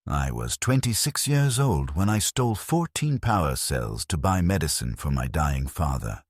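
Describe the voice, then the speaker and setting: Man, bottomless low pitch, dry close sound, grave sci-fi story narration, resigned dead convict soldier, measured dramatic monologue. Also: sing-song, slightly rough, no echo. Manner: solemn, grave, measured, deliberate phrasing. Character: bleak, resigned ghost of a condemned legionnaire. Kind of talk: scripted first-person dark sci-fi narration, dramatic monologue.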